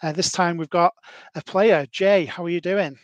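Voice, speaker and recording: A man's voice: high voice, fairly even intonation, normal speed, no room sound, highs cut off. A man in his thirties hosting a casual podcast interview, asking long rambling questions with many fillers. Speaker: podcast host.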